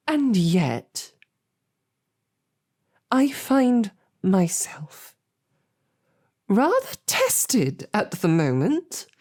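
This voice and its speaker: A woman playing a composed, sarcastic female royal knight who speaks formally and often softly, close to a whisper, in an audio roleplay. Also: mid-range voice, heavily acted. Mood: exasperated.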